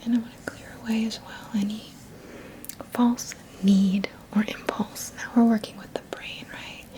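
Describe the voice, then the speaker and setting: A woman whispering breathily in a soothing ASMR style as a Reiki teacher guiding an energy-healing session, often repeating soft phrases. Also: mid-range voice, low-quality recording. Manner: slow, soothing, repeats words and phrases in a trailing murmur.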